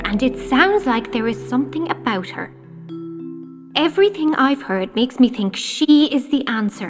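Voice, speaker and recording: An actress playing a commanding, grave queen of ice in an audio drama, with a solemn, imperious manner. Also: on the high side. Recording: music underneath.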